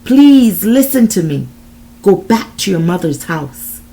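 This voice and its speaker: A woman's voice: mid-range voice, very animated, slow pace, warm tone, voice pushed up. A woman voicing a desperate husband who hollers urgent warnings in a dramatic story reading. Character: desperate husband shouting warnings over the phone.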